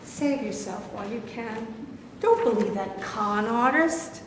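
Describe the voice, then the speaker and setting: A woman's voice: on the high side, animated, unhurried, a little hiss or hum. A woman reading the part of a righteous congregation woman in a staged play, preachy and reproachful. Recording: echoey hall from a distance.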